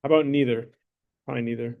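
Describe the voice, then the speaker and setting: A man teaching math to a high school class, talking through problems in a casual, joking way and checking in with the students as he goes. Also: steady tone, fast, gravelly, narrow, tinny audio. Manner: joking, conversational, checks in with "right?" and "okay?". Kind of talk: classroom lesson with a mock sponsor plug.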